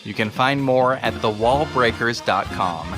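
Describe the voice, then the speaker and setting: A male podcast host delivers a scripted announcement in a mid-range voice. Guitar music plays clearly behind him. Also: mid-range voice, a little slow.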